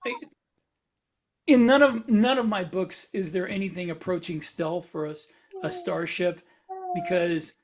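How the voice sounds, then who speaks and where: Deliberate, matter-of-fact man in podcast discussion. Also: high voice, noisy background. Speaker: podcast co-host and science fiction author. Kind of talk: casual technical discussion.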